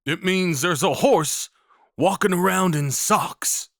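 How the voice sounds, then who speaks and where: A man's voice: sits high, takes it slowly, vocal fry, fairly bright tone, no room sound, exaggerated voice. Man playing a folksy Old West cowboy, close and dry. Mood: flustered, awkward. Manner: folksy, reassuring, informal. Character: kindly rough-spoken Old West cowboy guide, protective and awkward. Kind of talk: intimate audio roleplay acting.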